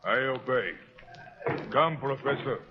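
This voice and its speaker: Big dumb obedient henchman, really slow talk, muffled old radio. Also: mid-range voice, lively intonation, music in the background. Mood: dull, obedient. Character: big slow-witted brutish male henchman. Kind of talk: 1930s radio drama acting. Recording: muffled 1930s radio broadcast recording.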